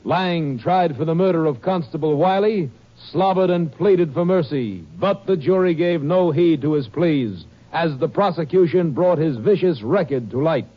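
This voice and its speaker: Old time radio announcer guy, formal and grave, voice a bit high, muffled sound. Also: normal speed. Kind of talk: scripted 1950s radio epilogue narration. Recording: dull old radio broadcast.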